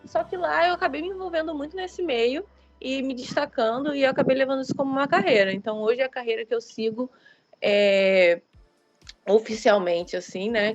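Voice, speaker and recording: A higher-voiced woman in her thirties speaks with a Carioca lilt. She rambles through her answers with fillers and restarts. The sound is dull and lo-fi, with faint music in the background. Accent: Carioca Brazilian Portuguese. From Rio de Janeiro, Brazil. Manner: rambling, many fillers and self-corrections. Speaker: guest writer. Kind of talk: casual interview chat.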